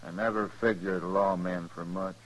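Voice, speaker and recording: An actor playing a cold, bitter, laconic gunfighter for hire in a 1950s radio western. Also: male voice, sits low, fairly even intonation, normal speed, lightly acted. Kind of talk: 1950s radio drama acting. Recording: dull 1950s radio recording.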